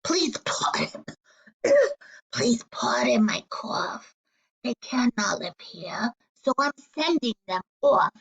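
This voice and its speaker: A woman's voice: on the high side, big pitch swings, slow, pauses often, slightly rough, voice pushed up, full character voice, poor audio. Woman reading as a grumpy, scolding forest creature. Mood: coughing, pleading. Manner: scolding, snappish, pleading. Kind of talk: children's storybook read-aloud.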